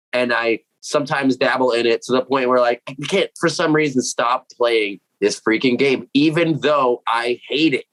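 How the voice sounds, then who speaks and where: Animated young man in casual chat. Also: mid-range voice, rough recording. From Puerto Rico. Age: 20s. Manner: animated, slangy, swearing, acts out his own reactions. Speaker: metal vocalist interviewee. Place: home room with cats.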